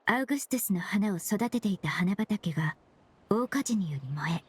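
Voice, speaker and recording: A woman playing a courteous, earnest young perfumer in an anime game, speaking formally and explaining in a measured way. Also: medium pitch, animated, breathy edge. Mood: calm, explanatory. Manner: polite formal speech, measured explanatory delivery. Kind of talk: Japanese anime game voice acting.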